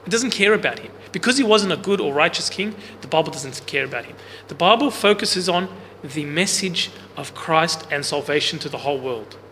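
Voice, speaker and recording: Loud, bright-voiced man teaching in a reverberant hall. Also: a little high, lively intonation, fairly quick, few pauses. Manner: questions the group, casual and explanatory; loud voice, speaks without a mic. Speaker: Bible study teacher. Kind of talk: interactive Bible class teaching. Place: large room with a group, no microphone. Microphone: no mic on the speaker, recorder in the room. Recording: long hall reverb, faint background hiss.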